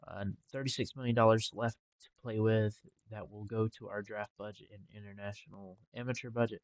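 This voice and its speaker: A young man talking casually over a game with a congested, stuffy nose and a relaxed, rambling manner. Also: lowish voice, no room sound, highs cut off. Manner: relaxed, rambling with filler ums. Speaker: gaming channel host. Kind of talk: casual gameplay commentary.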